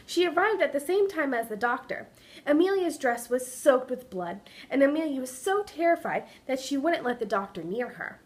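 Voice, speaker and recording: A woman giving a dramatic reading of a children's story, presenting it like a student with expressive, deliberate delivery. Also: high voice.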